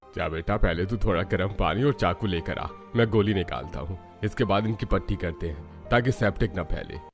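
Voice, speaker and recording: A man's voice: low voice, fairly quick, over music. A man acting a worried, grave older father in a dramatized family story, with dramatic delivery. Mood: calm, commanding.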